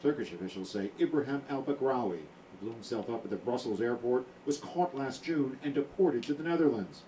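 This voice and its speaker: A man foreign correspondent voicing a news report in a measured reporter delivery. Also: medium pitch, expressive, dark tone. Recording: distant playback with light noise.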